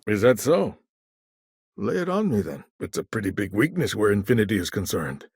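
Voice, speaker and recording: Suave shrewd business negotiator in a game, lowish husky voice, lively delivery, really dry sound. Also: male voice, moderate pace, no room sound, heavily acted. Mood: businesslike. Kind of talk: video game dialogue acting.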